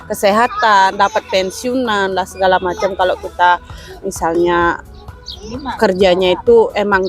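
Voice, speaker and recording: Casting: woman, average pitch, informal guest chat with music audible behind her. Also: steady tone, measured pace. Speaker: interview guest. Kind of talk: casual chat.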